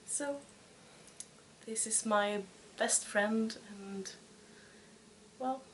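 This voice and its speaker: A Swedish woman in her twenties hosting a podcast in casual chat, speaking with a Swedish accent and pausing often to find her words. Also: on the high side, a little hiss or hum. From Sweden. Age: 20s. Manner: hesitant, searching for words. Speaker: podcast host.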